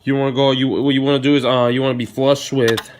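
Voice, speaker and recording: A man's voice: mid-range voice, flat delivery, quick pace. A man hosting a car installation tutorial, explaining each step casually and informally, repeating himself as he goes. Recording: noisy, clicks and vehicle sounds behind.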